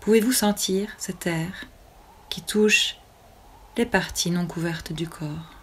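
Woman doing a guided yoga nidra, really slow and calm, slightly low voice, lots of pauses. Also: a little hiss or hum. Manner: calm, soothing, with long pauses between short phrases. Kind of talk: guided relaxation meditation.

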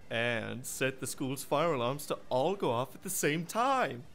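A man's voice: medium pitch, sing-song, measured pace, a bit bright, soft background music. A man playing a smug, intellectual teenage boy in an audiobook, with a formal, condescending manner. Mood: cool, assured. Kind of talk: audiobook character acting.

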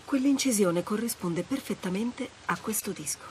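A woman's voice: medium pitch, animated, moderate pace, slight background noise, lightly acted. An actress playing a wry, adventurous treasure hunter in her thirties, teasing and understated, in naturalistic video game dialogue. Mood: thoughtful.

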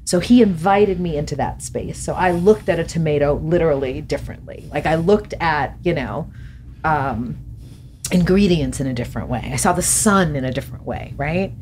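Middle-aged woman, lowish voice, reflective interview talk, studio boom mic, faint hiss. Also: moderate pace. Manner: thoughtful, animated hand gestures, laughs often. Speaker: podcast guest, author. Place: podcast studio. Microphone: studio mic on boom arm.

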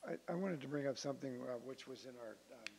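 Very gravelly man at a council meeting. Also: mid-range voice, expressive, a little mellow, poor audio. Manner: hesitant, halting. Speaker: city council member. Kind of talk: informal remarks at a council meeting. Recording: hissy meeting-room audio.

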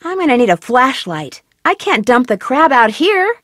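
A young female game detective: high, animated, dry booth recording. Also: moderate pace, no room sound. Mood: curious. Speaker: game protagonist.

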